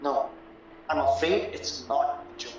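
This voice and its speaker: A man acting in an audio play as a cautious, polite solicitor who asks careful, formal questions with gentle doubt. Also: fairly high pitch, steady tone, measured pace, a little hiss or hum, natural voice. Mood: grave, doubting. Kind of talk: stage-style audio drama acting. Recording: very echoey, muffled, distant, music underneath.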